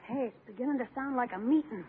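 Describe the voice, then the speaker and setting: An actor in an old-time radio comedy playing a scheming, anxious young schoolboy with a youthful, expressive voice. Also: medium pitch, fast, slight background noise, close to an everyday voice. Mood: conspiratorial. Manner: expressive, folksy exclamations. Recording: muffled vintage radio recording.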